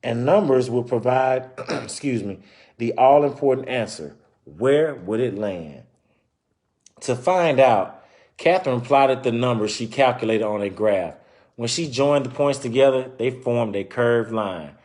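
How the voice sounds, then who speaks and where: A man's voice: mid-range voice, a little slow, darkish tone. Man reading aloud with casual asides. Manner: conversational, explains and repeats points informally. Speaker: storyteller reading a children's book aloud.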